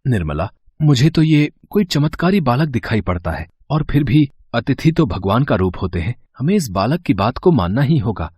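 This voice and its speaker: Guy playing a kindly religious husband, raspy, swingy pitch, earnest and persuading, storybook style. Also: very animated, moderate pace, darkish tone, no room sound, studio quality. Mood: reverent. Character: pious, gentle, reasonable husband urging generosity.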